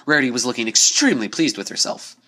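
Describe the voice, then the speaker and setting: A man's voice: mid-range voice, animated, quick pace, pushed and bright. A man reading a scripted story aloud as narrator, in a dramatic storytelling style.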